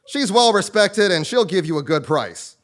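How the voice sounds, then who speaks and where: A man playing an eccentric, melodramatic fortune-telling sage in a comic play on stage, with drawn-out pondering and sudden cries. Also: high-pitched, expressive. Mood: confiding. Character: eccentric, theatrical self-styled male sage and fortune teller. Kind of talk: comic stage acting before a live audience. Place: theatre stage, live performance.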